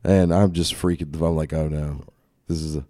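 A man's voice: a bass voice, fairly even intonation, moderate pace, warm tone, no room sound, clean studio sound. A man co-hosting a podcast, chatting casually with lots of swearing and laughter, sounding congested from a cold. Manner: rambling, laughs often, swears a lot. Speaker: podcast co-host. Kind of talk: casual profane banter.

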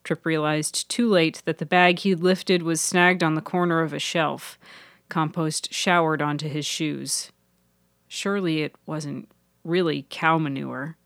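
Woman narrating a story podcast, lowish voice, measured, really dry close recording. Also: fairly even intonation, no room sound. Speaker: author and narrator. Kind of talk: scripted fiction narration.

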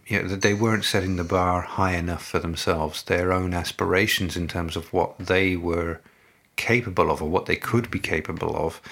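A middle-aged man, a native English speaker, answering interview questions in a measured, halting way with many fillers and restarts. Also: sits low, fairly bright tone. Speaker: company representative, interviewee.

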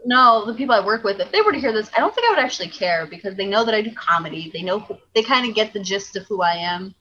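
A woman's voice: medium pitch, lively intonation, quick pace, bright, forceful tone, muffled recording, a little hiss or hum. A woman comedian co-hosting a podcast, frank and joking, a little tipsy. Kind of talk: casual podcast chat.

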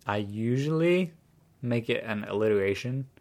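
A young man hosting a casual podcast chat, telling stories in a relaxed way and laughing easily. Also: mid-range voice, moderate pace. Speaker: podcast host.

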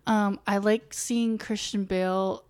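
Casual American woman in her thirties, animated storyteller. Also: mid-range voice, slow pace, clean tone. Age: 30s. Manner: animated storytelling with mock quoted voices and swearing. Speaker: podcast co-host. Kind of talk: casual chat about films and sports.

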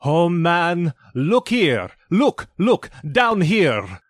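A man voicing a sorrowful, stern male ghost who loudly declaims warnings. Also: fairly high pitch, sing-song, slow pace, no room sound, voice pushed up. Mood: urgent.

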